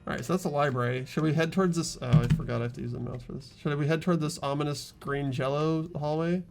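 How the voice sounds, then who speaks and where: Creaky young man hosting a game playthrough. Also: a little high, fairly even intonation, fast, music in the background. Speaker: host of a group game playthrough. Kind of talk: reading game narration and casual commentary.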